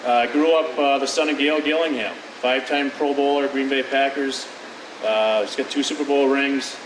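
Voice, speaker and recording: A man's voice: mid-range voice, fairly even intonation, moderate pace. A man speaking to a conference audience in a hesitant way, with many fillers and restarts. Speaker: guest speaker. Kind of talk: casual talk to an audience. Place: conference hall. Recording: echoey room, distant mic, noisy.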